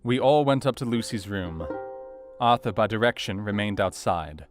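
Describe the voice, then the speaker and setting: An actor playing a grave young doctor, narrating his account in a measured, sombre manner for an audio drama. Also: lowish voice, natural voice. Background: soft guitar music.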